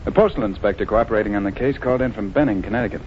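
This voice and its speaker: An actor playing a businesslike federal agent reporting in a clipped 1940s radio drama style, on an old radio recording. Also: male voice, lowish voice, very fast, muffled recording, slight background noise, lightly acted. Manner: clipped, matter-of-fact reporting.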